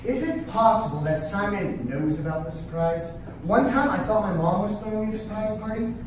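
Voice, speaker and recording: Breezy slacker party guest played by a man, animated and oblivious; very echoey, noisy staged reading. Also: high-pitched, moderate pace, natural voice. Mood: oblivious, casual. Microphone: distant room microphone. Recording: echoey noisy hall, distant mic.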